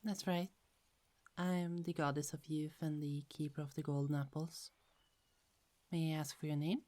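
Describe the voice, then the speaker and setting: Woman acting gentle young goddess, soft half-whisper, low mellow voice, slow, giggly. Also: flat delivery, close to an everyday voice. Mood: friendly. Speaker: ASMR roleplay actor. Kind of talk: ASMR roleplay.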